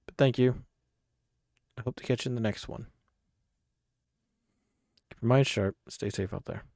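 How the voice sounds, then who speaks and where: A man's voice: medium pitch, unhurried, creaky, a little mellow, dry recording, clean studio sound. A man chatting casually as the host of a video game livestream.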